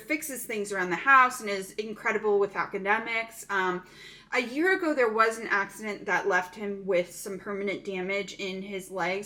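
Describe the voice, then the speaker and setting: A woman's voice: medium pitch, sharp tone. A woman casually recapping a thriller movie plot, chatty and full of "um" fillers.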